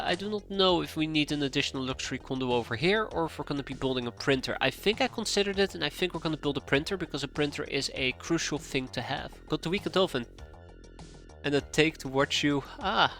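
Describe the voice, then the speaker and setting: A man's voice: fairly high pitch, a little fast, fairly bright tone, faint music behind. Stuffy-nosed man rambling through a game stream. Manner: rambling, thinking aloud, easily sidetracked. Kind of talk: live gameplay commentary, chatting with viewers.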